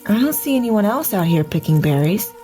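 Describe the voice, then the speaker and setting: An actress playing a gentle young village wife who giggles and speaks earnestly, in an audio drama. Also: female voice, medium pitch, big pitch swings, fairly quick, faint music behind, lightly acted. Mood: calm.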